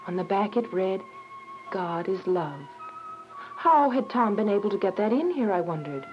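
Actress as earnest young woman prisoner, radio drama narration, medium pitch, music behind. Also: expressive, normal speed, natural voice. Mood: somber, reflective. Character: earnest, devout young woman prisoner telling her story. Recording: dull recording with music behind.

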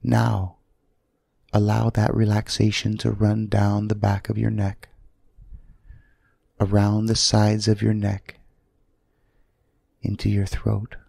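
A man leading a guided sleep meditation in a soothing, gentle manner, leaving long pauses between short phrases. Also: lowish voice, monotone, creaky, no room sound, clean studio sound.

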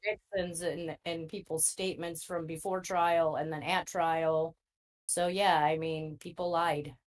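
A woman's voice: low voice, steady tone, a little slow. A woman panelist in a casual discussion who makes her points bluntly. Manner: blunt and forceful.

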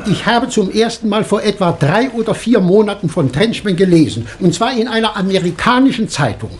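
An actor playing a self-assured, excitable male doctor, speaking emphatically in 1960s German radio drama style. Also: sits high, brisk. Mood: insistent. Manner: emphatic, expressive. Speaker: actor in a crime radio play.

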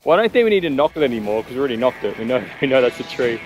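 A man's voice: medium pitch, big pitch swings, very fast, music in the background. A young Australian man joking casually to camera as a sailing vlogger, outdoors on an island and a sailboat. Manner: joking, laid-back banter.

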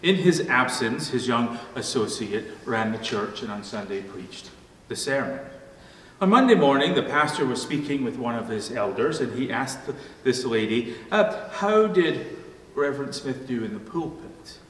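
A middle-aged or older man, a pastor, delivering a sermon with measured, deliberate phrasing. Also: medium pitch, expressive. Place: church room at a wooden pulpit. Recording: echoey church hall, distant mic, faint noise.

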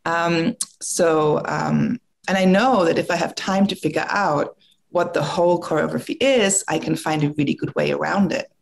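German-accented older woman with gravelly voice. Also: low-pitched, darkish tone. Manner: reflective, thinking aloud with frequent restarts and fillers. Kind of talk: conversational talk. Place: home room, video call. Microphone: video call.

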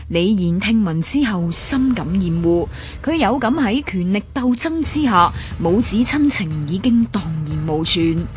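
A woman's voice: mid-range voice, breathy edge. A woman with a Hong Kong Cantonese accent reading scripted historical narration. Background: background music.

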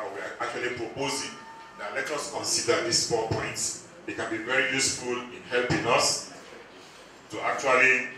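A middle-aged man with a West African accent presenting as a director at a symposium. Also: fairly low pitch. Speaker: NGO country director. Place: conference hall indoors. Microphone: handheld microphone. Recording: very echoey hall, distant, noisy.

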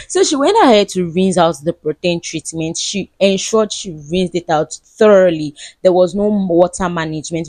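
A woman's voice: deep voice, darkish tone, no room sound. A young woman narrating a vlog voice-over in a chatty way, repeating words for emphasis. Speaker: beauty vlogger.

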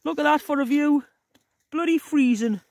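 Gruff-voiced woman outdoors. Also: sits high, moderate pace, a little mellow, no echo. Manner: chatty, teasing, laughs often. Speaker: outdoor vlogger. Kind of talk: casual handheld camping vlog. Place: open moorland outdoors. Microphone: phone camera held by the speaker.

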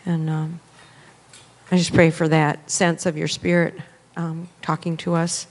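A woman's voice: sits low, little pitch movement, unhurried, darkish tone. A woman leading spontaneous prayer in church, hesitant and plain-spoken. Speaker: prayer leader before the sermon. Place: church sanctuary, indoors. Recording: echoey hall with faint noise.

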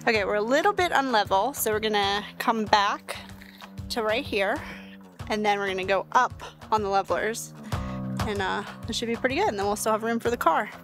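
A woman's voice: mid-range voice, brisk, music in the background. A woman in her forties hosting a casual travel vlog outdoors in a mountain park, chatty and upbeat, laughing often.

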